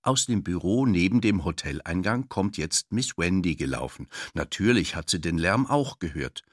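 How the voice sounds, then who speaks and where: A man narrating a children's audiobook in a lively storytelling style. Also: low-pitched, moderate pace, no echo, clean studio sound.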